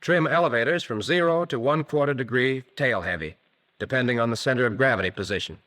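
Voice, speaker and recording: An American man narrating a scripted 1940s instructional film in a crisp, methodical manner, on a vintage film soundtrack. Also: mid-range voice, fast, a bit bright.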